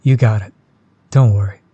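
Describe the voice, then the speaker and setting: A man playing a chill, friendly tattoo artist in an intimate audio roleplay, soft-spoken, easygoing and reassuring. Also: on the low side, slightly rough, no echo, dull audio. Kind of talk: intimate one-sided audio roleplay, casual chat.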